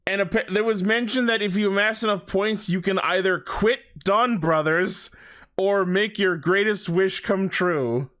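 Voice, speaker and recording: A man's voice: high voice, measured pace, a bit bright. A man co-hosting a podcast, giving a casual fan recap in an opinionated, sweary way. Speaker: podcast host.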